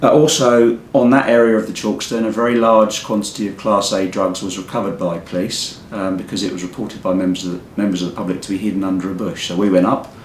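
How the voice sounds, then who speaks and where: A hoarse man with a croaky voice from a cold, a local police commander giving an earnest off-the-cuff community update. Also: flat delivery, fast talker, few pauses. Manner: plain, earnest, repeats words while speaking off the cuff. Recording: echoey room with faint rumble.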